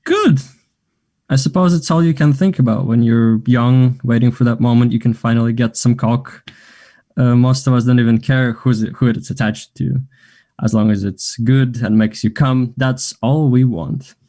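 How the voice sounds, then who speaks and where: Actor playing a confident, mellow, flirty man. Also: mid-range voice, moderate pace, natural voice. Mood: teasing, forward. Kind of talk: table read of a stage play.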